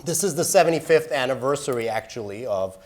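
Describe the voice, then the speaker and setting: An earnest middle-aged man from Iran speaks at a live public forum. He explains his points in a clear, medium-pitched voice, pausing to rephrase as he goes, the way a human rights organization's director addresses an audience. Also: lively intonation, a little mellow, slight background noise.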